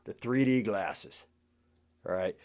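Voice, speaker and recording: Male host, lowish voice, casual and conversational vlog delivery, easygoing phrasing. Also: slow, a little mellow. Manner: laid-back, conversational, peppered with "man" and "you know". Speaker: host of a cassette collection show. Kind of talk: casual chat to camera.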